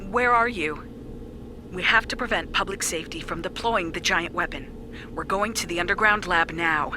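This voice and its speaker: A woman voicing a brisk, commanding field leader in a video game, businesslike, over noisy ambience. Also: lowish voice, natural voice. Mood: urgent, focused. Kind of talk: dramatic video game acting.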